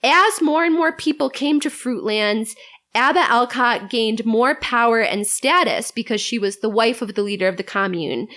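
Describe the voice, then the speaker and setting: High-pitched woman telling a story. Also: moderate pace, fairly bright tone. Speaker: podcast guest. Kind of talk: semi-scripted storytelling in a conversational podcast.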